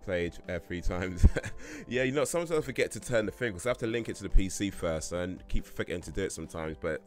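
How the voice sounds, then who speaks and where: Deep-voiced man rambling on a stream. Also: animated, fast, faint music behind. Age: 30s. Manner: rambling, many fillers and restarts, chuckles often. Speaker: livestream host answering chat questions. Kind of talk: casual live Q&A chat.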